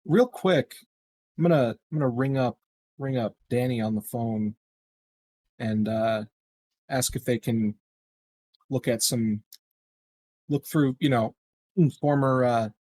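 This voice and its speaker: A man's voice: mid-range voice, slow, long pauses, a little mellow, dry recording. A man playing a tabletop roleplaying game, talking casually and tentatively as he thinks out his next move aloud.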